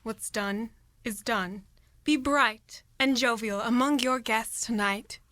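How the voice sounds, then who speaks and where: A young woman playing a resolute, coaxing queen in a Shakespearean radio play, measured and theatrical. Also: on the high side, bright, forceful tone, no room sound. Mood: coaxing, concerned. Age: young adult.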